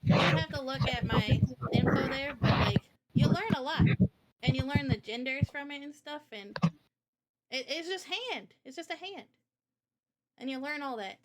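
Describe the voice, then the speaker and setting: A woman's voice: sits high, slight background noise, low-quality recording. A woman in a casual livestream chat, explaining her ideas in an informal, opinionated way. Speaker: streamer and game developer.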